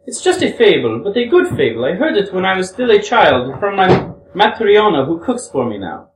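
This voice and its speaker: A man's voice: high voice, huge pitch swings, moderate pace, a little hiss or hum, voice pushed up. A man voicing a proud, nervous young woman who talks heatedly and accuses herself. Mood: heated, confessing. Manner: heated, hysterical pleading. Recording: echoey room, far from mic.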